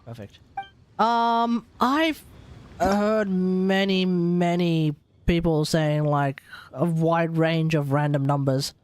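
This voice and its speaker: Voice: mid-range voice, steady tone, slow pace, darkish tone, clean tone. A game livestreamer chatting casually and thinking aloud in an informal, conversational way. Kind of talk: casual live stream commentary and chat.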